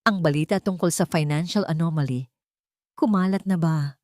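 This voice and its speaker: A woman's voice: low-pitched, normal speed, a little mellow, dry recording, clean studio sound, lightly acted. A woman voicing a scheming, bitter socialite in an audio story, arrogant and mixing Tagalog with English.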